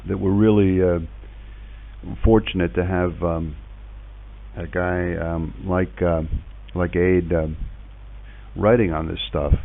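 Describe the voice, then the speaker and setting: A middle-aged man chatting casually and reminiscing in a halting way, repeating words as he goes. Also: low-pitched, flat delivery, gravelly. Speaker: podcast host and ham radio operator. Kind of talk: casual radio chat, reminiscing. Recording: tinny phone-like internet radio link.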